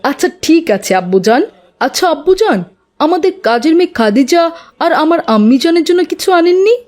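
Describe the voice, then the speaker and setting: A woman's voice: very high-pitched, lively intonation, fairly quick, darkish tone. A woman voicing a polite, modest young boy, the son of a family, in a cartoon. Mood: polite, curious. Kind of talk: cartoon dialogue.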